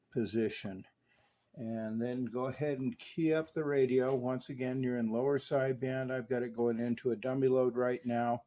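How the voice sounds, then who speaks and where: Mellow-voiced man giving a hands-on walkthrough. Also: medium pitch. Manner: methodical, step-by-step explaining. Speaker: radio repair technician presenting his restoration work. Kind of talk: hands-on demonstration narration to a customer.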